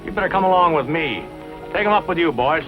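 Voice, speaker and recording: An actor playing a stern, skeptical small-town sheriff in a 1940s western style, speaking with gruff authority. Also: male voice, a little high, expressive, quick pace, over music, close to an everyday voice. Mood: commanding. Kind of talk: 1940s western film acting. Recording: muffled, hissy old western soundtrack.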